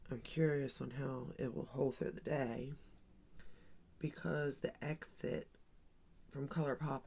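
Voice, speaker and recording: Woman in her 40s, chatty makeup talk, bit of vocal fry, kinda rambly. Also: extremely low pitch, steady tone, slow pace, darkish tone. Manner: chatty, rambling, thinks aloud with many ums and likes. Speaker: beauty vlogger. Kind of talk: casual get-ready-with-me makeup chat.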